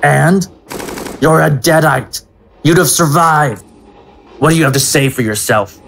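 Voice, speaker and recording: An actor playing a cold, ruthless commander in an audio drama, with a clipped, menacing, commanding delivery. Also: male voice, a little high, unhurried, vocal fry, a bit bright, slight background noise. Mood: cold, contemptuous. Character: cold, ruthless male commander passing sentence.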